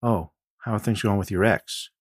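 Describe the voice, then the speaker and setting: A man's voice: lowish voice, moderate pace, husky, dry recording, clean studio sound, voice pushed up. A man voicing an emotional, caring female friend in an audiobook, informal and familiar. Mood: friendly, concerned. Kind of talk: audiobook character dialogue.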